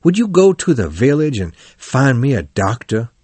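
A man's voice: mid-range voice, big pitch swings, normal speed, darkish tone, breathy edge, dry recording. A man voicing a melodramatic, self-pitying male toad in an animal storybook, in a weak, plaintive, martyr-like way. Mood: plaintive, feigning illness. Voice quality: weak, faint.

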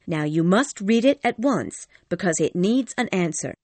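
A woman playing a caring, anxious female servant, gently urgent, in a dramatized audiobook. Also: mid-range voice, lively intonation, normal speed, no room sound, natural voice. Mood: gently urging.

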